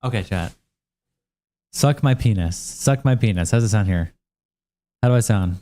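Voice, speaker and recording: A man's voice: lowish voice, warm tone. A young man hosting a livestream, chatting casually and profanely with viewers while worn out from days of streaming. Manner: casual, profane, reacts to chat with quick asides. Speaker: livestream host. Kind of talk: casual livestream chat reading viewer comments.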